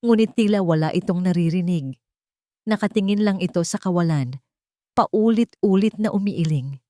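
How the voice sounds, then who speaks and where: A woman's voice: fairly low pitch, normal speed, dark tone, no room sound, studio quality. A woman reading a scripted story as narrator, with dramatic, emphatic delivery.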